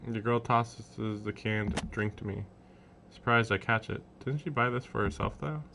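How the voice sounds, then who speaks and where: A man's voice: on the low side, moderate pace, vocal fry, noisy background. A man live streaming a visual novel, reading its text aloud and breaking off for casual, wry, incredulous commentary. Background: game music with strings.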